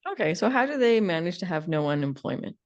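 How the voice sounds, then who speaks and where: A woman's voice: on the low side, big pitch swings, fast talker, highs cut off. A woman co-hosting a podcast, talking casually and informally with a sardonic, opinionated edge. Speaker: podcast co-host. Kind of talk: casual conversational discussion.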